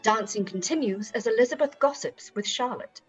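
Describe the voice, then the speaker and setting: A woman's voice: on the high side, normal speed, breathy edge, lo-fi sound, faint music behind. A woman reading scripted scene narration for a period radio play. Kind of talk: scripted stage-direction narration.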